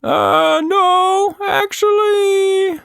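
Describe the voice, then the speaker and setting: A man voicing a friendly, excitable shapeshifting creature for a children's story, with a squeaky cartoonish voice and drawn-out words. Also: creaky, dry recording, studio quality, full character voice. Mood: uneasy, hesitant.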